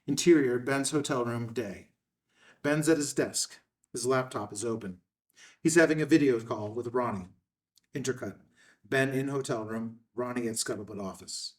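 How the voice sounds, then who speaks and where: A man's voice: medium pitch, moderate pace, far from the mic. A man narrating screenplay action lines at a table read. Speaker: stage-direction narrator.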